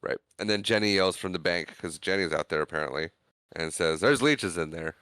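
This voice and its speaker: Smooth-voiced man recapping a film. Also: low voice, brisk, no echo. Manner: animated storytelling, retells scenes with quoted lines. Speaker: podcast co-host. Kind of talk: casual chat recapping a film.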